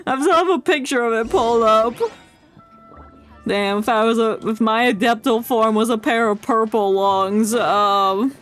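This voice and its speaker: Slow, high-voiced woman streaming a game. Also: a bit bright. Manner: rambling, halting, playful. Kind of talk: casual joking gameplay commentary. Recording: game music faintly behind.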